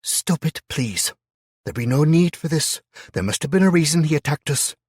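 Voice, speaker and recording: A man's voice: on the high side, animated, normal speed, a little mellow, slightly rough, no room sound, clean studio sound, voice pushed up. A man reading a story, voicing a tough young woman captor. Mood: pleading. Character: tough young woman captor, Scottish-tinged, turns nasty.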